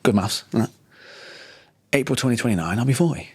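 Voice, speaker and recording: Fast-talking man in his thirties. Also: mid-range voice, expressive, darkish tone, slightly rough. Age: 30s. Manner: quick, chatty, full of fillers and restarts. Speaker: podcast co-host. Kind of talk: casual business podcast banter.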